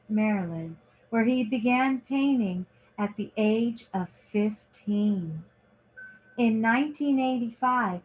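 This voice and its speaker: A woman reading a children's picture book aloud in short, deliberate phrases, like a teacher. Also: on the high side, poor audio.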